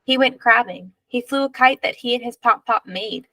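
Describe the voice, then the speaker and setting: A woman reading a children's picture book aloud in measured, expressive narration, drawing out key words. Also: fairly high pitch, no echo.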